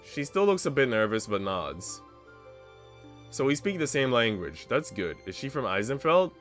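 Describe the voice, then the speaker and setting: Expressive male streamer reading a visual novel. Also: mid-range voice, normal speed, soft background music. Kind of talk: reading game narration aloud with casual commentary.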